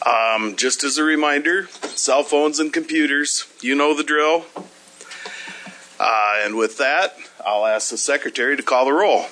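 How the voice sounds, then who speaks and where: Bright-voiced, easygoing committee chairman. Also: mid-range voice, a little hiss or hum. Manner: easygoing, folksy, many filler pauses. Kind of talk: chairing a legislative hearing. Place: legislative committee hearing room.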